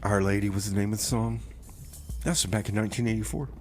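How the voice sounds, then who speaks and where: A man's voice: fairly low pitch, fast talker, faint music behind. A man hosting a radio show with casual DJ talk and comic banter.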